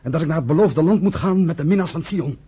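A hot-headed young idealist of a radio play. His voice sits a little high and sounds husky, and he argues defiantly as a rebellious son. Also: animated, fast, dark tone. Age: young adult. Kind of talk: radio drama acting.

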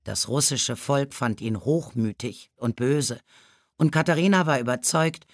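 A woman's voice: very deep voice, unhurried, no room sound, clean studio sound. A woman reading a biographical story in a scripted storybook narration style. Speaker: audiobook narrator.

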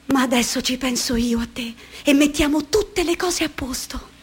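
An actress in a radio drama playing a lonely, dreamy young woman who talks softly and slowly, musing to herself. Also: sits high, husky. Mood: tender, dreamy. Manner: soft, hushed, slow and musing.

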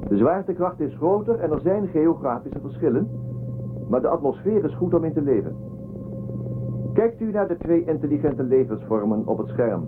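An actor playing a formal, authoritative space commander delivering an official recorded report in a science fiction radio drama. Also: male voice, fairly high pitch. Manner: declamatory, official. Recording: dull radio-like recording with music.

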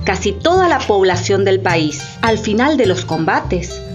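A woman's voice: mid-range voice, sing-song, a little slow, highs cut off. A woman narrating and announcing in a scripted radio theatre style. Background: light music.

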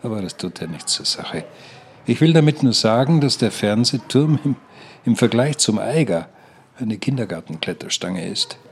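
An elderly mountaineer in a radio play. His lowish voice is full of indignant pride, and he drifts off into know-it-all asides. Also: lively intonation, normal speed, breathy edge. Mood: boastful, indignant. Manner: boastful, digressing.

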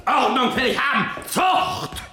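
An actor playing an irascible, gruff soldier-king who barks orders at his family in a radio play. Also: very high voice, animated, measured pace, faint music behind, heavily acted. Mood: raging. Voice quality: barking, shouting commands. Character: irascible gruff Prussian soldier-king, middle-aged man. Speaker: King Frederick William I. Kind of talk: 1970s radio drama acting.

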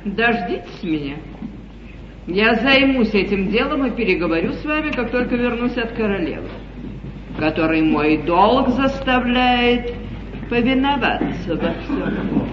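A domineering court duchess who flatters and insinuates with careful theatrical diction. It is 1950s stage comedy acting. The live theatre recording is muffled and full of echo. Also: female voice, fairly high pitch, unhurried, background noise. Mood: coolly controlling.

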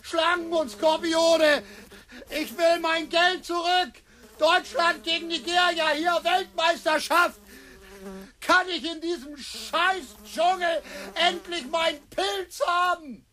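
A man playing a desperate, agitated German abroad in a radio play, excitable and exasperated. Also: very high voice, slow, full character voice. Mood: frantic, raging.

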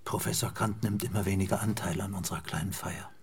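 An actor playing a grave physician in a radio drama, speaking in a hushed, formal, clinical manner over some noise. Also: male voice, low voice, fairly even intonation, fast. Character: grave male physician at a scholar's bedside.

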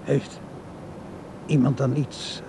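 A man's voice: medium pitch, level tone, slow, background noise, lightly acted. A man playing a lonely old grandfather in a radio play, hesitant and awkwardly insistent, searching for words. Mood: uneasy, gentle. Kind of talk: Dutch radio play acting.

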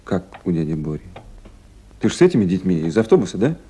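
An actor playing a kindly official on night duty in a 1970s Soviet radio play, gentle and coaxing or businesslike. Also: male voice, low voice, very animated, fast talker, breathy edge, heavily acted. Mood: reassuring, coaxing.

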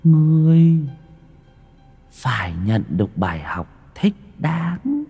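A man voicing a vengeful young female ghost, high, shrill and reproachful. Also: huge pitch swings, slow, mellow, slightly rough, big-room sound, faint music behind, full character voice. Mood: menacing.

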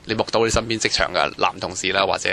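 Fast-talking male interviewer, lowish voice. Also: gravelly, sharp tone, a little hiss or hum. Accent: Hong Kong Cantonese. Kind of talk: informal vox-pop questioning.

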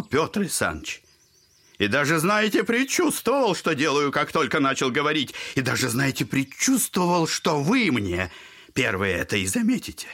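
A man's voice: on the high side, a bit bright, slightly rough, full character voice. An actor playing a garrulous, clownish old father in an audio drama, fawning and theatrical, rambling on with mock politeness. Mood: mock-confessional.

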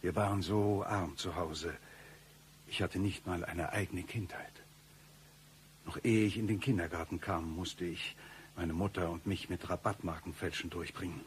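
An actor playing a shady, self-pitying man who spins absurd hard-luck stories, deadpan and mock-tragic, in a satirical radio play. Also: low voice, normal speed, slight background noise, lightly acted. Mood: aggrieved, lamenting.

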